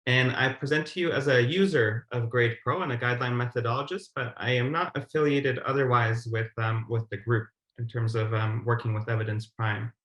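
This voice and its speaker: Male presenter, thirties, measured and formal explainer, mid-range voice, reverberant video-call sound. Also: mid-range voice, fairly even intonation, a bit bright. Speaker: guideline methodologist, webinar presenter. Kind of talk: prepared webinar presentation. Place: indoor room on a video call. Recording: roomy, echoing webcam audio.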